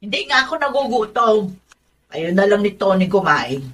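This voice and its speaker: A woman's voice: medium pitch, very animated, normal speed, fairly bright tone. An actress with a Filipino accent playing an aggrieved, stubborn older woman in a radio drama, plaintive and sulking. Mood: sulking, curt. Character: aggrieved, self-pitying, stubborn elderly woman who feels unwanted.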